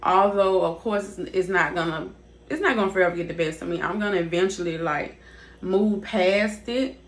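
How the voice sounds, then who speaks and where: A woman's voice: on the low side, normal speed, slight background noise. A young woman in her mid-twenties talks straight to her viewers in a casual, unscripted way. She rambles, circles back and leans on fillers as she goes. Her voice is picked up by a device's built-in mic instead of a proper microphone. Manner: casual, rambling, repeats herself, leans on "basically" and "like". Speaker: vlogger. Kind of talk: candid personal vlog talk. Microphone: device's built-in mic, not her usual microphone.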